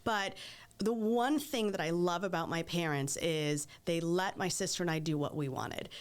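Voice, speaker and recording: A woman's voice: lowish voice, moderate pace. Chatty woman from Greece, podcast guest. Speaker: podcast guest, PR professional and former TV news anchor. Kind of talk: casual conversational interview.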